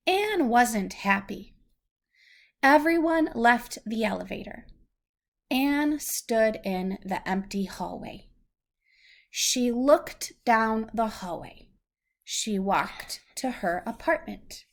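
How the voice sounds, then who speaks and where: Female read-aloud storyteller, higher-pitched with a creaky edge, patient and simple in phrasing for a young child. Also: expressive, slow.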